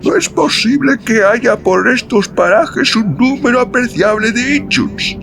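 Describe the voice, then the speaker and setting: A man's voice: very high voice, lively intonation, unhurried, vocal fry, a bit bright, a little hiss or hum, voice pushed up, full character voice. A man voicing an elderly, commanding leader of frontier pioneers in an audiobook story, with music behind him. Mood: commanding, calm.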